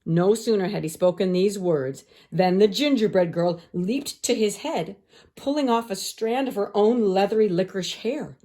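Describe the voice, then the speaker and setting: A woman teacher narrating a children's story aloud, expressive and carefully phrased for young listeners. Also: medium pitch, normal speed.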